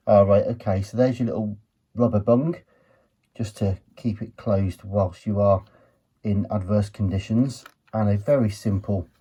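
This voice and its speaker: Husky, mellow-voiced British man. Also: fairly low pitch. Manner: chatty, thinking aloud. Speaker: host of a retro electronics teardown video. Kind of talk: casual hands-on commentary while examining a gadget.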